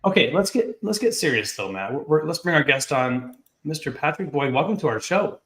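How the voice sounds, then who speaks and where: A man's voice: fairly high pitch, big pitch swings, brisk, fairly bright tone, reverberant. A man in his late thirties hosting a show over a video call, joking and upbeat. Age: 30s. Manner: jokey, upbeat, self-deprecating. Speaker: host. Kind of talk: casual video-call chat.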